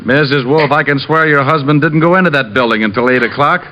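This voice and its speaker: Give me an actor as a brisk radio detective, dull vintage recording. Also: male voice, mid-range voice, background noise, lightly acted. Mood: accusing, probing. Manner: brisk, pointed questioning. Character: smooth, needling male private detective. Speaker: lead actor. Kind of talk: 1940s radio detective acting.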